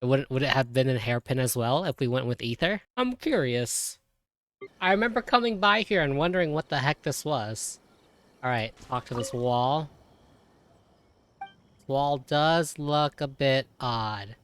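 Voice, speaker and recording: A man's voice: medium pitch, lively intonation, a little slow, fairly bright tone. Casting: young male streamer, slangy off-the-cuff playthrough commentary, slightly noisy recording. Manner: casual, slangy, reactive. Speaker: video game streamer. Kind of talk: live playthrough reaction commentary.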